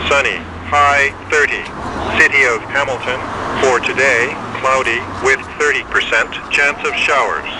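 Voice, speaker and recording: A man reading a scripted weather radio forecast in a measured, impersonal, segmented way. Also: medium pitch. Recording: noisy weather radio broadcast capture.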